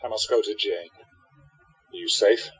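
A man's voice: on the low side, a little slow, fairly bright tone, slightly rough, exaggerated voice. An actor playing a protective, devoted hero who narrates and speaks his part in a radio play, earnestly, on dull lo-fi audio. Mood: earnest, urgent. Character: protective, devoted male hero with secret powers. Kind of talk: radio play acting and first-person narration.

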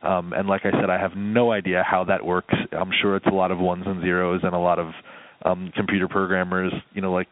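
A man's voice: low voice, little pitch movement, a little fast. A man talking casually as an interview guest, explaining his composing work in a meandering, conversational way. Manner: explanatory, meandering, with frequent "you know". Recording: muffled narrowband phone-like line.

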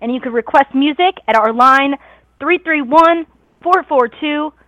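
A woman hosts a live radio show in a high, strained voice that is going hoarse. She chats in a gushing, excited way. The sound is dry and close, with no room around it. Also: unhurried, no room sound, low-quality recording. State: hoarse, losing her voice.

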